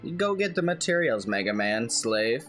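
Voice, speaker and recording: Wisecracking man, lively pitch. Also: moderate pace. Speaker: gaming video co-host. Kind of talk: casual let's play commentary. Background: game music.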